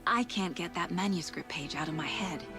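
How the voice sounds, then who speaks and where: A woman's voice: on the low side, normal speed, over music, close to an everyday voice. An actress playing a composed, professional female FBI agent in a game cutscene. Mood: steady. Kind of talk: video game cutscene acting.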